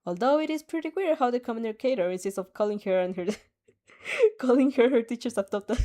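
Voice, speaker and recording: A woman's voice: on the high side, expressive, fairly quick, dark tone. A woman reading a fanfic aloud, with laughing asides and her own reactions. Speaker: fanfic reader.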